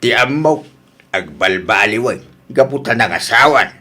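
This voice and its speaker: Man acting an animated, exasperated older father. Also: mid-range voice, normal speed. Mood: troubled. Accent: Filipino, Ilocano. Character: worried, exasperated older father of wayward grown son. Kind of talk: Ilocano audio drama acting.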